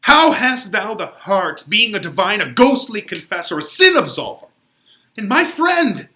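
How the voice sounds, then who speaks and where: A man acts out a young banished lover from a Shakespeare play, frantic with despair. His voice is high and swings widely, with a sharp, forceful edge. He delivers it as anguished melodrama that breaks into shouting. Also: sing-song, measured pace, full character voice. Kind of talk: dramatic Shakespeare reading.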